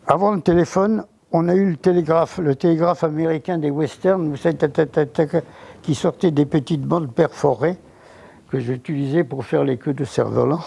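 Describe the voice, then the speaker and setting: An older man recalling his childhood in an interview, halting, with many false starts. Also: a little high, creaky, mellow, breathy edge. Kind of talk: reminiscing interview.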